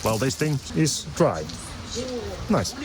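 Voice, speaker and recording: A man's voice: medium pitch, very animated, takes it slowly, a crowd in the background. A man with a Finnish accent vlogging casually outdoors in English, playful and self-mocking, laughing often. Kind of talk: casual vlog chat. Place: outdoors by the water.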